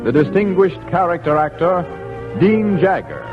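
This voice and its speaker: Highish-voiced American radio announcer, male. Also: very animated, moderate pace. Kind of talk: formal 1940s radio announcing. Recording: muffled old radio, music behind.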